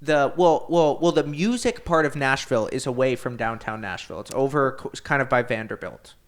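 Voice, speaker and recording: A man's voice: mid-range voice, expressive, brisk. A man as a podcast guest, telling stories eagerly in a casual chat, often restarting his sentences. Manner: enthusiastic storyteller, restarts often.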